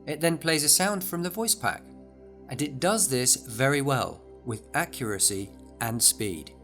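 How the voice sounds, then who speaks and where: A man's voice: medium pitch, animated, measured pace, a bit bright, faint music behind. A man narrating a scripted product overview in an enthusiastic, explanatory way.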